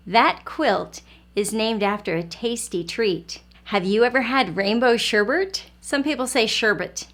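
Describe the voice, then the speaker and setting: A woman's voice: sits high, fairly bright tone. A woman hosting an early learning lesson, speaking to small children in simple, encouraging phrases and questions. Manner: encouraging, asks questions and pauses for young viewers. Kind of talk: children's lesson and storybook read-aloud.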